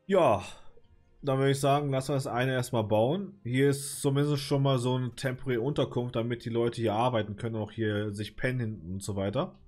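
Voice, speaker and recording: A man with a cold commenting casually on his city-building game, hesitant and rambling with many fillers, clearing his throat now and then. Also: mid-range voice, normal speed, a little mellow, faint music behind. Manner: rambling, hesitant, lots of filler, mild swearing. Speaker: let's play gamer.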